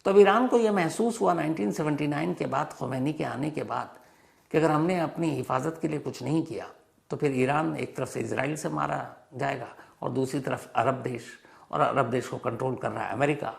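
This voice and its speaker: A man hosting a storytelling explainer, speaking in a dramatic, emphatic way and repeating phrases for effect, with a gravelly voice. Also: mid-range voice, normal speed. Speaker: crime and history show host.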